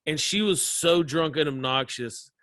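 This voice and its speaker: A man with a Southern American accent, a comedian telling animated stories in a casual interview and laughing often. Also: fairly high pitch, unhurried, dry recording, clean studio sound. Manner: animated storyteller, laughs often, acts out voices. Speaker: comedian guest.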